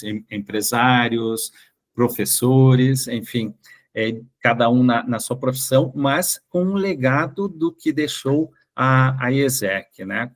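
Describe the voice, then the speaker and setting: A Brazilian man speaking as an interview guest, recounting personal experiences haltingly and often rephrasing his words. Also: medium pitch.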